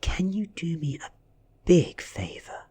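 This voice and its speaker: British guy reading as ancient vampire lady, seductive n calculating, super breathy, lilting storybook voice. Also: a little high, very animated, slow, mellow, pitched above the performer's own voice, full character voice. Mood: coaxing. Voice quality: breathy, whispery.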